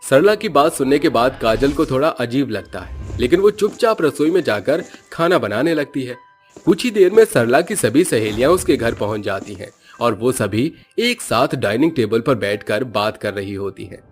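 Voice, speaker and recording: Man, cartoon story narrator, steady plain telling, faint background music. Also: medium pitch, normal speed.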